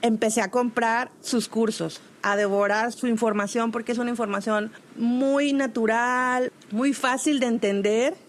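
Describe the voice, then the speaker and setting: A woman hosting a podcast, introducing her guest in an admiring, somewhat halting way, often restarting her phrases. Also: fairly high pitch, fairly even intonation. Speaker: podcast host.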